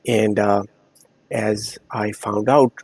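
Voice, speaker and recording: A middle-aged man with an Indian accent speaks in a low, husky voice that stays level and even. He answers thoughtfully, pausing with ums and restarting phrases. The sound is dry and close. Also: no room sound. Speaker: podcast guest. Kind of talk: conversational interview answers.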